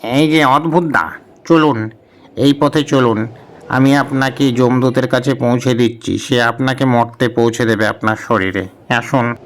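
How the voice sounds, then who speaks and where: A man's voice: mid-range voice, fairly even intonation, measured pace, slight background noise, close to an everyday voice. A man voicing a bumbling clerk of the heavens in a comic cartoon. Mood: brisk ordering. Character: bumbling male celestial clerk, servile to his king.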